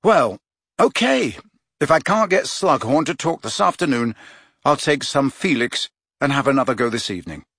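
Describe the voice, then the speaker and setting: A man's voice: mid-range voice, expressive, normal speed, fairly bright tone, no echo, clean studio sound, pitched above the performer's own voice. A man voicing an earnest, reluctant teenage boy in an audiobook, understated and hesitant. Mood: reluctant resolve.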